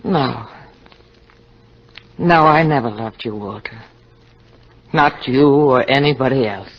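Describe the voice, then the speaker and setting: Scheming femme fatale, old radio. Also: female voice, rumbling low, slow, heavily acted. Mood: pleading, tender. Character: cold, scheming femme fatale, seductive murderess. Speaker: actor. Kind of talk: 1950s radio drama acting. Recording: dull old radio recording.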